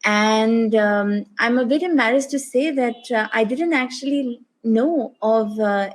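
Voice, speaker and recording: A woman's voice: mid-range voice, low-quality recording. A woman, a guest author and oral historian, answering a panel question thoughtfully, with articulate phrasing and hesitant fillers.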